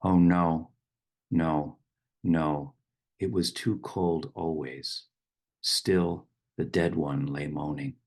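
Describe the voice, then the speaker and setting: A man guides a meditation in a low voice heavy with vocal fry. His delivery is slow and unhurried, with short phrases set apart by pauses. The tone is husky and grainy, suited to reading verse as part of the practice. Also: fairly even intonation, a little mellow. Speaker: meditation teacher.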